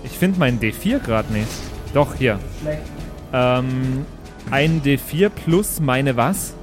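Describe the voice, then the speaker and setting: A man's voice: medium pitch, lively intonation, slow pace, music in the background. A man chatting casually as a player and co-host, in a dry, joking way. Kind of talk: casual chat.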